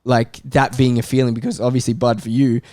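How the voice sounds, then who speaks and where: A man's voice: medium pitch, flat delivery, brisk, a little mellow, no room sound. Emphatic man in a dry-sounding football debate. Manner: emphatic, repeats himself for stress. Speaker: football fan panellist.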